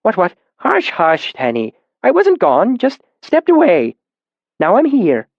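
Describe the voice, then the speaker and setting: Brief: male narrator doing a kindly, tired old man, gentle repetitions, bouncy high delivery, dry booth. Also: wildly swooping pitch, measured pace, creaky, dry recording, highs cut off, heavily acted. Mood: gentle, soothing. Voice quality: old and tired around the edges, patient at center. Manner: gentle, soothing, repeats words. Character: patient, tired old barefoot man caring for sick children. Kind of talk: audiobook character voice.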